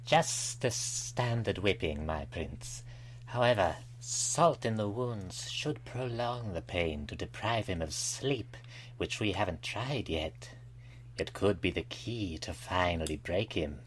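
A man voicing a cruel, servile minion with an oily, drawn-out delivery in an amateur fan fiction reading. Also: low voice, lively intonation, slight background noise, rough recording.

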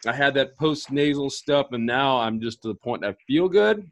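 A man in his thirties hosting an excited livestream pitch, hoarse from allergies, talking fast with lots of energy in a home office. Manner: energetic, gestures a lot. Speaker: host. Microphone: headset and studio mic.

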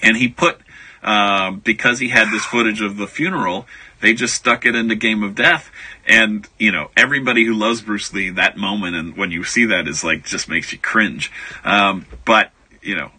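Male American author guest, conversational storyteller, strikingly bright and forceful tone with some rasp. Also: fairly low pitch, normal speed, poor audio. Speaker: author being interviewed. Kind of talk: casual radio interview, anecdotal.

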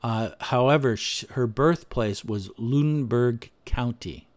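A man presenting history research over slides, speaking off the cuff with frequent pauses, "uh"s and restarts. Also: mid-range voice. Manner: unscripted, thinking aloud with frequent "uh" and restarts. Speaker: history podcaster. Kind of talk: screen-share presentation, talking over slides.

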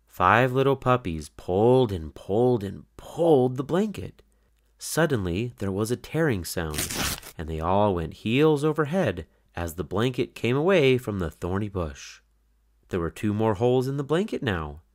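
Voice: mid-range voice, sing-song, measured pace. A narrator reading a children's picture book aloud in a scripted storytelling style.

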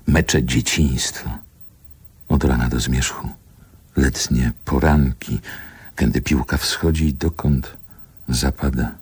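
A wistful, solemn man narrating a poetic radio drama. The voice is extremely low and very husky, and it moves slowly and deliberately. It sounds close and dry. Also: darkish tone, no room sound, full character voice. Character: older man, wistful poetic narrator recalling Warsaw childhood. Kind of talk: literary radio drama monologue.

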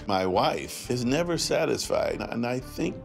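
A man's voice: mid-range voice, big pitch swings, measured pace, vocal fry. A middle-aged man talking in a reality TV interview, proud and chuckling about saving money. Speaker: reality show subject, frugal father. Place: home room, indoors. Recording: background music.

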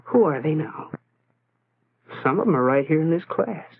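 An actor playing a lonely, self-doubting teenage schoolboy, musing to himself in a brooding way in a 1940s educational film style, on a tinny, noisy soundtrack. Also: male voice, fairly high pitch, animated, moderate pace. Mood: pondering. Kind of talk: 1940s educational film inner-monologue narration.

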